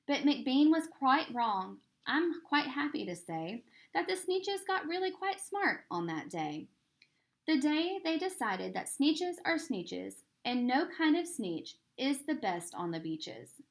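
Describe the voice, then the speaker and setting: A woman with a slightly high, very lively voice reads a rhyming children's book. She is theatrical, leaning into the rhythm and stretching out key words. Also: slow pace. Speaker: storybook reader. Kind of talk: expressive read-aloud of a rhyming children's book.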